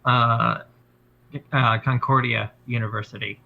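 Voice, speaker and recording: A middle-aged man, a former game designer, speaking haltingly as a stream guest with many fillers and restarts. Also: mid-range voice, level tone, gravelly. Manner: halting, many fillers and restarts, laughs while telling stories. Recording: dull lo-fi mic.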